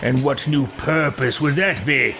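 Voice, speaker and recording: A man's voice: medium pitch, unhurried, music in the background, close to an everyday voice. A man voicing a grandiose, irascible, imperious wizard from another world in a video game roleplay. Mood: imperious questioning.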